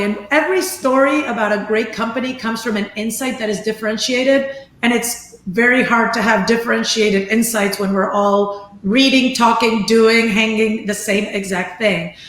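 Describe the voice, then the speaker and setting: A woman's voice: a little high, a bit bright, reverberant. A woman from Venezuela speaking as an investor on a panel, in a thoughtful, articulate way. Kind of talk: panel discussion.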